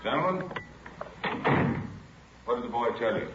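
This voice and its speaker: An American man playing a brisk, tough, authoritative chief of detectives in a 1940s radio drama. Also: medium pitch, expressive, lightly acted. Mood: brisk, commanding. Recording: dull, noisy old radio recording.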